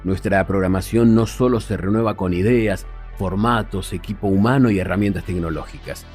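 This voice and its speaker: A man's voice: deep voice, normal speed, a little mellow, breathy edge. An Argentine man reading scripted documentary narration as a narrator. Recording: music behind the voice.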